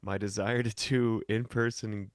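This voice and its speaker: A man from the United States talking casually as a guest, telling anecdotes in a laid-back, colloquial way with pauses mid-thought. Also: on the low side, flat delivery, dry recording, studio quality. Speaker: guest musician and voice talent. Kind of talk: casual chat, personal anecdotes.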